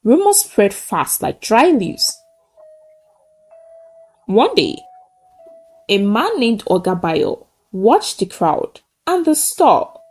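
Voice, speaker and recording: A woman's voice: mid-range voice, wildly swooping pitch, slow pace, long pauses, breathy edge, soft background music. A woman narrates a folktale in short, simple sentences, solemn and emphatic. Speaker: storyteller. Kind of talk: dramatic folktale narration with a closing moral.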